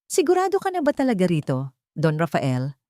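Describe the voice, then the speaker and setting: Female attorney character read by a woman storyteller: Filipino accent, respectful, resolute, lowish voice, close dry sound. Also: wildly swooping pitch, fairly quick, dark tone, dry recording. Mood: concerned. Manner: respectful, earnest. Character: respectful, determined female attorney becoming company chief.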